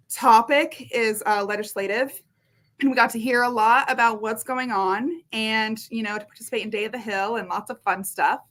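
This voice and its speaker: A woman from Washington state, a guest in an interview, talking in an energetic, chatty way and laughing often. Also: on the high side. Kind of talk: casual interview chat.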